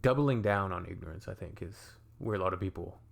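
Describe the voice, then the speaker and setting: A man's voice: low voice, a little fast, vocal fry. A young adult man from Canada hosting a reaction video, chatting in a wry, think-aloud way. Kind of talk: casual reaction commentary.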